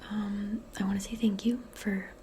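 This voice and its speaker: A woman in a soft-spoken ASMR role play as a modern witch, talking in a gentle, hesitant, breathy half-whisper. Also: mid-range voice, level tone, moderate pace, a little hiss or hum. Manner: hushed, gentle, hesitant and informal.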